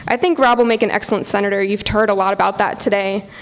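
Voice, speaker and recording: Even-toned American woman giving public testimony. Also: mid-range voice, fast talker, rough recording. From Portland, Oregon, United States. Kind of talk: prepared public testimony to officials. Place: public hearing in a university hall.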